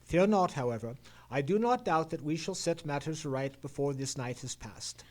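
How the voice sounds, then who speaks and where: A man plays a shrewd Victorian English detective in an old-time radio mystery. His voice sits high for a man and moves in lively swoops, with courteous, formal phrasing. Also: lightly acted. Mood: reassuring. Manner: formal, measured, theatrical period diction. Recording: live theatre stage radio play.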